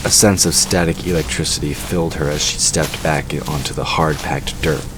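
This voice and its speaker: A man's voice: extremely low pitch, fairly even intonation, moderate pace, noisy background. A man reading scripted narration for a fantasy audio drama. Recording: music under the voice. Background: music.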